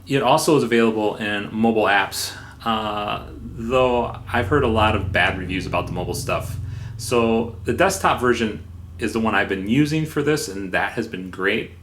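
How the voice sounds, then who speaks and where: A man hosting a software review in a casual, informal way, somewhat halting, with playful repetition for emphasis. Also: on the low side. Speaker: software reviewer and video host.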